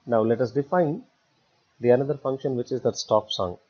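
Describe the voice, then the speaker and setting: A man narrating a step-by-step screencast tutorial as an instructor, phrasing haltingly in short, repetitive chunks. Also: mid-range voice. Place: voice-over for a screen recording. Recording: dull, lo-fi voice recording.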